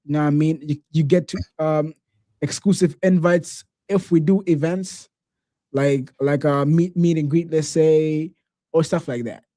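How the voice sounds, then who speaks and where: A man hosting a podcast, chatting casually in a relaxed, slangy way and often saying "bro". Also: fairly high pitch, unhurried, no echo. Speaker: podcast host.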